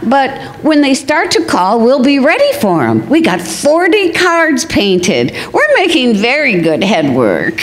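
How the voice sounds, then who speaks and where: A woman's voice: sits high, huge pitch swings, unhurried, few pauses, full character voice. A woman acting a scatterbrained, optimistic wife who mangles her words in an old-time radio comedy. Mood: breezy, reassuring. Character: scatterbrained, optimistic wife mangling proverbs, running card business. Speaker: actor in a radio play.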